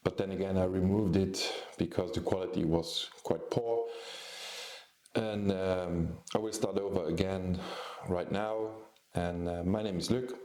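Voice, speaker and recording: Man in his 40s, non-native English speaker from Luxembourg; low, unhurried, halting delivery; echoey room. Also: steady tone, darkish tone. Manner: hesitant, many ums and restarts. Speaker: photographer and YouTube host. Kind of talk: casual vlog talk.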